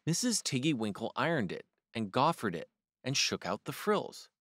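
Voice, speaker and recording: A man reading a children's picture book aloud with expressive, varied storybook delivery. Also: mid-range voice, moderate pace, dry recording, studio quality.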